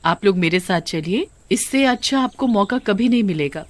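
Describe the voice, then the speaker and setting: A woman's voice: mid-range voice, expressive, moderate pace, no echo, close to an everyday voice. Woman as coaxing, scheming lady boss, dry sound. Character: beautiful, persuasive, scheming female factory owner.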